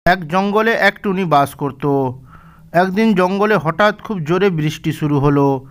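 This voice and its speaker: A man narrating a cartoon fairy tale in a measured, gently didactic storybook manner. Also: high voice.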